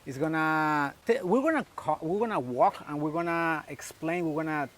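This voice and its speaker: A man's voice: a little high, normal speed, fairly bright tone. A Spanish-speaking man hosting a casual walking interview outdoors, talking in a chatty way with many restarts. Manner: chatty, restarts and switches into English. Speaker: realtor and vlog host. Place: outdoors on a residential street.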